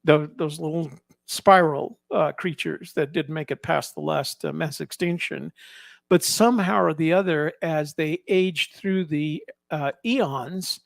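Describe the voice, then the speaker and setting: A man giving casual, explanatory commentary as a vlog host, in a halting way, often repeating and rephrasing himself. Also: a little high, gravelly, no echo, studio quality. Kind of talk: casual narrated photo commentary.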